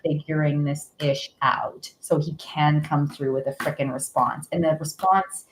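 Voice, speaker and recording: A woman's voice: sits low, fairly even intonation, a little slow, slight background noise, rough recording. Rambling woman giving a live tarot reading. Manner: rambling, filler-heavy, giggly. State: stuffy nose. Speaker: tarot reader.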